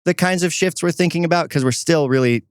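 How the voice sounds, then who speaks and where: A man's voice: on the high side, fast talker, clear, resonant tone, no room sound, studio quality. A man talking casually and conversationally as co-host of a podcast.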